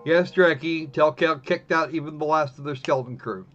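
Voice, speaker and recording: A man with a fairly high-pitched voice hosts a live game stream. He talks casually and haltingly, repeating and restarting phrases as he goes. Clear music plays behind him. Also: a bit bright, muffled recording. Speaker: live stream host playing games.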